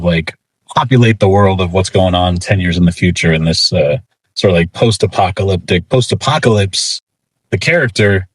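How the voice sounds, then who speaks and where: A man's voice: fairly low pitch, dry recording, poor audio. A man speaking as an interview guest, explaining things in a casual, informal and rambling way. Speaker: interview guest, comics writer.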